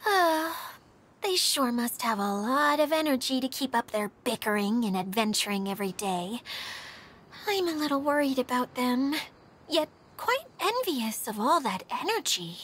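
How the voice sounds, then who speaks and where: A woman playing a kindly, warm-hearted young female guide in a video game, expressive and airy. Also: high-pitched, measured pace, breathy edge, heavily acted. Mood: thoughtful.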